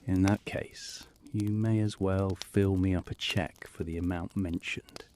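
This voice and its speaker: Sly, calm detective played by a man, deep and unhurried, slight noise on the recording. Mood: slyly triumphant. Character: calm, sly male detective demanding payment. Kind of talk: audio drama dialogue.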